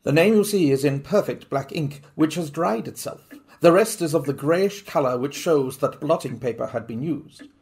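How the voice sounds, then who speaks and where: A man voicing a sharp, analytical Victorian detective who speaks in a crisp, deliberate way. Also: on the high side. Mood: explaining. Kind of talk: audiobook reading.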